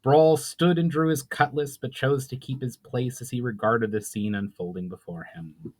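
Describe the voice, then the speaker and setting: A man's voice: medium pitch, normal speed. A man narrating a fantasy novel as its author, in a dramatic storybook reading style.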